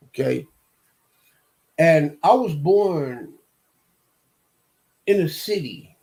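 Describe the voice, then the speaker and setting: A man in his fifties from Detroit, hosting his own show and telling his life story in a slow, halting monologue full of restarts and repeated words. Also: fairly high pitch, warm tone, poor audio. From Detroit, USA. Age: 50s. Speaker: show host. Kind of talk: personal monologue.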